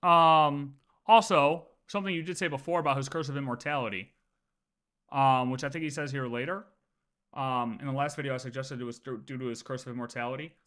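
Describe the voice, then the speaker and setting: A man's voice: mid-range voice, fairly even intonation, a little fast, a bit bright. A man livestreaming casual game lore commentary, thinking aloud and often repeating his words as he works through ideas.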